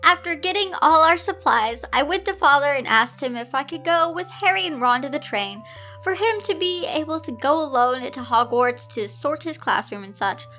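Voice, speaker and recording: A woman reading a story aloud informally, breaking off for animated, exasperated side comments. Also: sits high, moderate pace, faint music behind. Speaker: video host reading fan fiction aloud.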